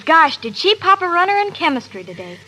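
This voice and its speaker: A woman's voice: very high voice, very animated, a little fast, bright, forceful tone, full character voice. An actress playing an eager, gossipy teenage girl with gushing slang in a 1930s radio advertising skit. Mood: chirpy, gossipy. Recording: noisy 1930s radio broadcast recording.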